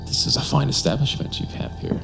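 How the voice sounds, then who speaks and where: An actor playing a suave, insistent homicide detective in an audio drama, formal and smoothly polite. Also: male voice, a little fast, natural voice. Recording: music under the voice.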